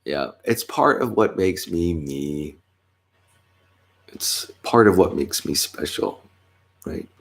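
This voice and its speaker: Stuffy-nosed guy rambling slowly to camera, deep gravelly voice, lots of ums. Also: animated. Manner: rambling, with many fillers and self-corrections, chuckling. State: congested, stuffy nose. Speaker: vlogger. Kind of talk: casual rambling monologue.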